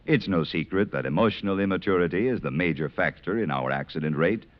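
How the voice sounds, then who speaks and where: Low-voiced, earnest American radio announcer. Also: male voice, lively intonation, quick pace. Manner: earnest, formal, admonishing. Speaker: announcer of a public service message. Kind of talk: vintage radio scripted announcement. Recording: muffled vintage radio recording.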